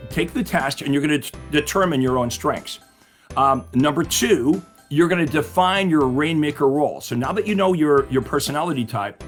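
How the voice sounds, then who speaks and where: A middle-aged man teaches real estate agents in a lively, upbeat way, slightly high for a man, and keeps checking in with his audience. His voice is going hoarse. Faint music plays underneath. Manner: upbeat, asks the audience questions. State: losing his voice. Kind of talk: webinar-style coaching talk.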